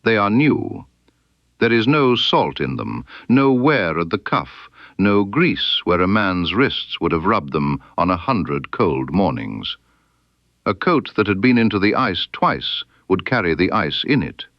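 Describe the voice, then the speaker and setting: A man voicing a keen, composed detective in an audiobook story, with precise, deliberate and quietly probing speech. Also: sits low, very animated, dry recording, dull audio, exaggerated voice. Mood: brisk, exacting. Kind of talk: audiobook character dialogue.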